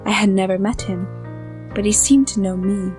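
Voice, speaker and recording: An actress playing a composed heroine who narrates her own tale in an audio drama, measured and formal. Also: medium pitch, fairly even intonation, close to an everyday voice. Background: cello music.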